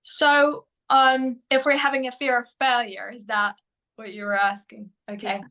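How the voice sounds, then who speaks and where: A woman whose first language is Polish, a mental performance coach answering interview questions in English, often pausing with fillers and restarting her sentences. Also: on the high side, flat delivery, pushed and bright. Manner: hesitant, many fillers and restarts.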